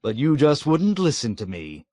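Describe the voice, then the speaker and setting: A man voicing a sly, unruffled male colonel who speaks with slow, dry irony. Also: a little high, wildly swooping pitch, mellow, dry recording, voice pushed up. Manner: drawling, ironic, dryly mocking.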